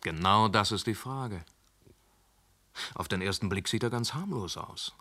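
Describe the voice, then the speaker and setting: An actor playing a shrewd police inspector in a radio play who reasons calmly, then grills a suspect harshly. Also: male voice, fairly low pitch, measured pace, heavily acted. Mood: reasoning. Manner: probing, turns harsh and scolding. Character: shrewd, hard-pressing male police inspector. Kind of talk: radio drama acting.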